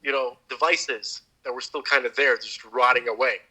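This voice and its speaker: A man's voice: mid-range voice, fairly even intonation, normal speed, sharp tone, husky, poor audio. A man co-hosting a podcast, telling a long story in casual chat, with many restarts. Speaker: podcast co-host.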